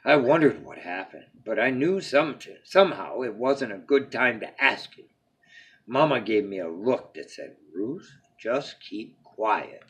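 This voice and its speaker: Husky man reading a lively bedtime story. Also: mid-range voice, measured pace. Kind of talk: expressive children's storybook read-aloud.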